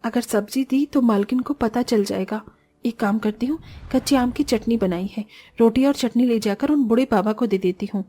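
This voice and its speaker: Young orphan sister, voiced by a woman: very high pitch, earnest, expressive, cartoon fable. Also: normal speed, husky. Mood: kind, earnest. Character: poor young orphan sisters, earnest, kind, easily exasperated. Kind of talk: Hindi cartoon moral-story voice acting.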